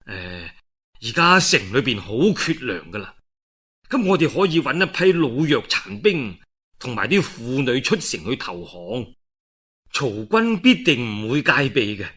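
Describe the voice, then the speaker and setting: Storyteller as a male military messenger, Cantonese, earnest and restrained, clear-edged bright tone, historical storybook style. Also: mid-range voice, big pitch swings, a little slow, pitched below the performer's own voice, full character voice. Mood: earnest, hushed. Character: male envoy soberly laying out a battle plan.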